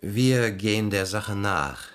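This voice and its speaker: A courteous policeman in a radio thriller, feeling his way through delicate questions. His voice is low and hesitant, with faint noise behind it. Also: expressive, slow, a bit bright. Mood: concerned, official. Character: polite, awkward male police officer questioning a victim. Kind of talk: radio crime drama acting.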